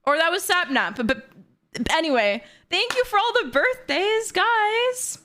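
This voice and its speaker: A woman in her twenties chatting excitedly and bubbly on a livestream from her bedroom. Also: extremely high pitch, measured pace. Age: 20s. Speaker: streamer. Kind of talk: casual livestream chat.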